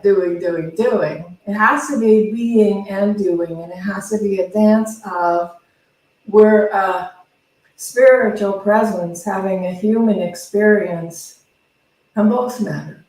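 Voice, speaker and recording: A woman's voice: mid-range voice, a little mellow. A woman minister giving an informal spiritual teaching talk, conversational and self-deprecating, repeating words for emphasis. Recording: very echoey room, distant microphone.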